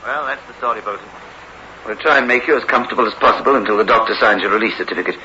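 An actor playing a concerned, authoritative prison governor with clipped, courteous official speech in a 1960s radio drama. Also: male voice, fairly low pitch, very fast, a crowd in the background, close to an everyday voice. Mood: soothing, explanatory. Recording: dull, hissy old radio recording.

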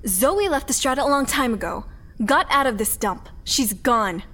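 A woman's voice: high voice, animated, normal speed, bright, forceful tone, slight background noise. An actress playing a bitter, guarded working mother in a sci-fi audio drama, blunt, sardonic and suspicious. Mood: bitter, angry. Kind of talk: audio fiction acting.

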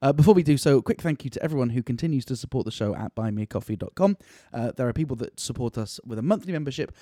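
A man's voice: mid-range voice, expressive, very fast, mellow, no echo, clean studio sound. A man hosting a podcast, chatting casually and steering the talk with leading questions. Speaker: podcast host.

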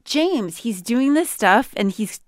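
A woman's voice: on the high side, expressive, slow pace, dry recording, studio quality. A woman interviewing a guest in a conversational podcast style.

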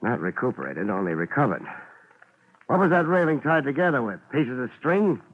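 An actor playing a wisecracking, world-weary private investigator, narrating and trading lines in a dry, laid-back deadpan in a hard-boiled radio drama. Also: male voice, mid-range voice, normal speed. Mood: wry, laid-back. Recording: muffled, noisy 1950s radio broadcast.

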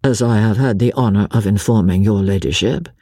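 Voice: low voice, fairly quick, warm tone, husky, no room sound, clean studio sound, voice pushed down. A reader voicing a cold, aloof aristocratic husband who speaks in a formal, drawling manner. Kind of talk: audiobook character voice.

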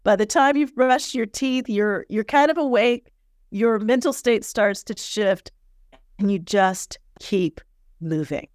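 A woman's voice: medium pitch, slow pace, dry recording, studio quality. A woman hosting a motivational podcast as a personal coach, talking in a candid, earnest and encouraging way, slightly stuffy from sinus pressure.

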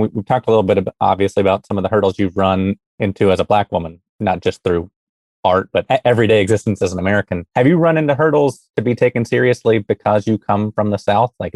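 A man interviewing a poet, asking thoughtful, somewhat halting questions. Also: lowish voice, a little mellow, dry recording.

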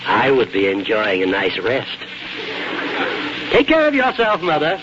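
An actor playing a wry, teasing elderly husband in a 1940s radio drama, with a dry, folksy manner. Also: male voice, medium pitch, wildly swooping pitch, measured pace, over music. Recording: noisy, muffled 1940s radio broadcast.

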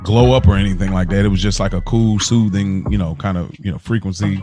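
Deep-voiced American man, music behind him. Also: quick pace. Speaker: podcast co-host. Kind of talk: casual chat, slangy.

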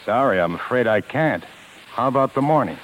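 An actor playing a smooth, cocky male vaudeville singer in 1950s radio drama, soft-spoken, coolly teasing and self-possessed. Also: medium pitch, expressive, brisk, muffled recording, close to an everyday voice. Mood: cool, evasive. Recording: thin, hissy old radio broadcast.

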